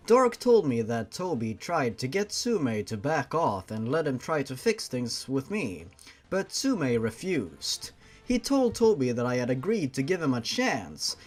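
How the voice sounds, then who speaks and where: Sarcastic young man reading fan fiction. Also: mid-range voice. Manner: sarcastic, swears freely, breaks off to mock the text. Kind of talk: story reading with sarcastic commentary.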